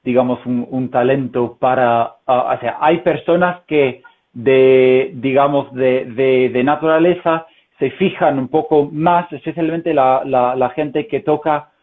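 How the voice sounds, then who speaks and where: A man's voice: medium pitch, a little slow. A man who is a non-native Spanish speaker with a Castilian accent, talking hesitantly with many fillers as an interview guest on a video call. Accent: Castilian Spanish, learned as a second language. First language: German. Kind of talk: conversational interview. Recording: tinny phone-like call audio.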